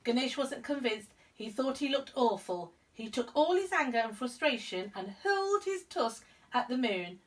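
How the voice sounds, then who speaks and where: A woman narrating a children's story expressively as a storyteller, with clear emphasis for young listeners. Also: a little high, recorded from a distance. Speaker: storyteller, school reader.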